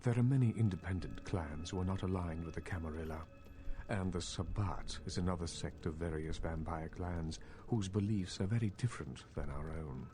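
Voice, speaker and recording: A very low-voiced elder vampire from a video game , acted by a man, speaks over prominent music. He is cultured and scheming, choosing formal words in a measured, condescending way. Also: natural voice. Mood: measured, dismissive. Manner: formal, measured, courtly speech. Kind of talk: video game character dialogue. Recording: loud game music behind.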